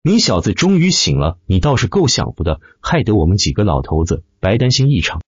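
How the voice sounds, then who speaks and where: A man acts out an old martial arts master in a fantasy audiobook. He is gruff and blunt yet caring, with a low, husky voice. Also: very animated, brisk, no room sound, highs cut off. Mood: teasing.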